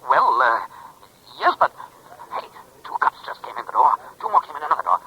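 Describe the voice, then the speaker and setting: An actor playing a hard-boiled, double-dealing crime boss who talks curtly and in slang in a 1940s radio drama. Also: male voice, mid-range voice, very animated, fairly quick, dull audio, a little hiss or hum, close to an everyday voice. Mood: nervous, pleading. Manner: curt, slangy. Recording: vintage 1940s radio recording.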